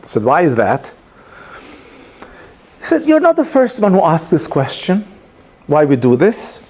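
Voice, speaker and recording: A man's voice: a little high, unhurried. A man giving an informal lecture, telling a story with many hesitations and restarts, English not his first language. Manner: animated storytelling with laughs. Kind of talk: informal lunchtime talk.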